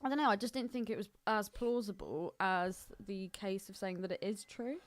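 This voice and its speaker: A woman's voice: medium pitch, steady tone, moderate pace. A woman co-hosting a film podcast in casual, conversational chat. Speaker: podcast co-host.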